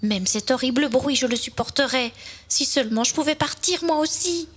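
A woman voicing a small, wistful, easily upset little fir tree in a children's storybook, plaintive and expressive. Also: sits high, normal speed, husky. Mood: indignant.

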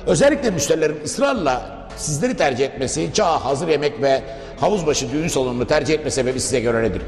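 A man's voice: on the high side, lively intonation, quick pace. A man hosting a formal promotional TV interview, speaking in long, polite, wordy sentences. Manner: wordy, formal, addresses viewers and guest politely. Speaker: interviewer, TV host. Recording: echoey reverberant room, music behind. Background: background music.

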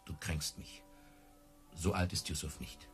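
An actor playing a proud, easily hurt circus tamer in radio play dialogue, earnest and touchy. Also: male voice, very deep voice, fairly even intonation, unhurried, husky, faint music behind, full character voice. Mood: hurt, resigned.

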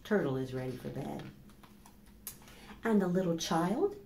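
A middle-aged woman hosting a children's storytime, speaking slowly and deliberately to young listeners as she reads and asks questions. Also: low-pitched, animated, gravelly, dark tone. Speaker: library storytime host. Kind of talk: children's storytime, reading picture books aloud. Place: small plain indoor room. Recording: echoey room with faint background noise.

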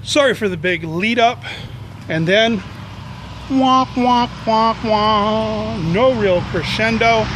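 Playful, mock-dramatic man in his forties, high for a man, handheld outdoor vlog with clear road noise behind. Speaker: vlog host. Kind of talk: jokey exploring vlog. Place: outdoors by a road, overcast and drizzly. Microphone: handheld camera at arm's length. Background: passing cars.

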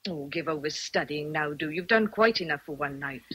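An actress playing a blunt, fussing housekeeper in a radio drama. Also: female voice, sits low, brisk, a bit bright, breathy edge. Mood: sceptical, fussing.

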